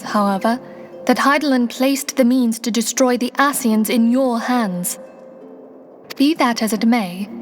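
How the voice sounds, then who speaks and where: Woman voicing dignified female leader, somewhat high voice, composed, formal, earnest, fantasy game cutscene, light music. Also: lightly acted. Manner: formal, poised, careful phrasing.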